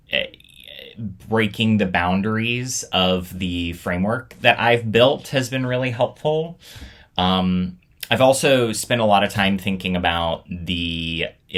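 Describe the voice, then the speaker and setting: Deep-voiced man with a bright edge. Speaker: host of a storytelling show. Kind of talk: casual reflective vlog talk.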